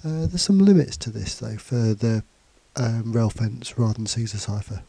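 A man's voice: medium pitch, normal speed, gravelly, warm tone, husky. A man with a cold and a bunged-up voice, casually talking through a programming tutorial over slides with fillers and restarts. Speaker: computing teacher. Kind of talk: screencast tutorial. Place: indoors, voice-over on slides and screen recording.

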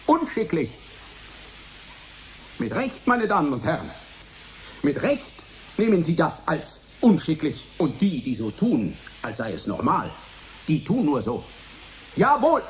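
Ein Schauspieler spielt in einem Hörspiel einen eindringlichen Maler, der eine öffentliche Rede hält, rhetorisch und mit betonten Wiederholungen. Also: male voice, fairly high pitch, darkish tone, slight background noise, full character voice. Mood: emphatic. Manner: emphatic, rhetorical, repeating phrases. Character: male painter giving a provocative public speech, insistent. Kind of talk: declamatory radio drama oratory.

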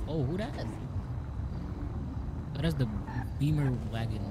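A man's voice: medium pitch, animated, unhurried. An American man chatting casually in joking, slangy street talk during game roleplay. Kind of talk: casual in-character roleplay chat. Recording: game street noise behind voice.

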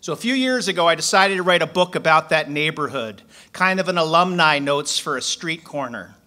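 A man's voice: fairly high pitch, animated, brisk, bright, forceful tone. A man in his forties from Philadelphia, a poet reading his work aloud from paper at a stand mic on a small club stage, then telling a story.

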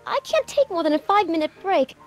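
A woman's voice: very high voice, lively intonation, quick pace, a little mellow, soft background music. A woman voicing a brassy young American showgirl of the 1930s in a video game, sassy and flippant.

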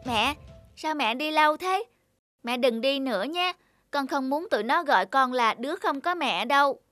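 A woman's voice: sits high, animated, moderate pace, fairly bright tone, soft background music. A woman voicing a plaintive, insistent little girl in a cartoon, longing for her mother. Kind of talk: cartoon voice acting.

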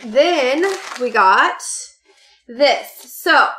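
A woman's voice: high voice, lively intonation, extremely slow, noisy background. A woman in her late thirties chatting casually to camera about party supplies she bought, gushing and full of fillers, sitting at a desk at home. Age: 30s. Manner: bubbly, gushing, many fillers and "you guys". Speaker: haul vlogger. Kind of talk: casual chatty product haul. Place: home room with party decor, indoors.

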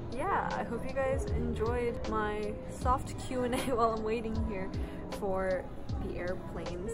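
A young woman vlogging casually from an airport, soft and chatty, laughing now and then, filmed on a phone held at arm's length. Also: medium pitch. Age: young adult. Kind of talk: casual Q and A vlog. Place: airport terminal, indoors. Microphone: phone camera at arm's length.

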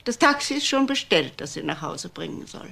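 A woman's voice: lowish voice, very animated, brisk, vocal fry, a bit bright, exaggerated voice. A woman playing a composed, courteous grandmother, a well-to-do lady in a German crime radio play. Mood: gracious. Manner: polite, composed, talkative.

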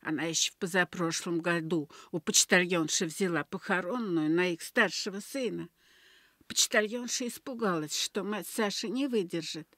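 A woman's voice: lowish voice, moderate pace, sharp tone, no echo, clean studio sound. A woman playing a sorrowful, plain-spoken old village grandmother in a Soviet radio play. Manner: lamenting, plain-spoken storytelling. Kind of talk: Soviet radio drama acting.

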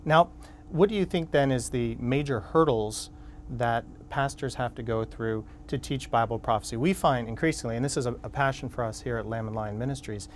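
Measured male TV interviewer. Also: medium pitch, a little hiss or hum. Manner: measured, inquisitive. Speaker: television show host, interviewer. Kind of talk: interview questions. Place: television studio set. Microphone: lapel mic.

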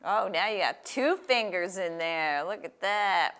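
Older woman, animated pitch, bright voice, encouraging children's host, simple questions. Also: unhurried, smooth. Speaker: children's story time and craft host. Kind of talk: reading aloud and guiding a child through a craft. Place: small indoor room with table. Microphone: lapel.

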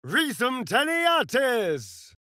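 A sly vampire rogue from a fantasy video game, vain and sardonic. He drawls slowly in an arch, flamboyant way, and his pitch swoops theatrically. The recording is dry and close. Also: huge pitch swings, pushed and bright, no echo, full character voice. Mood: incanting.

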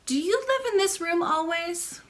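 A woman's voice: extremely high pitch, lively intonation, normal speed, voice pushed up. A woman voicing a curious, polite and innocent little princess in a storybook, with eager, childlike questioning. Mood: puzzled, curious.

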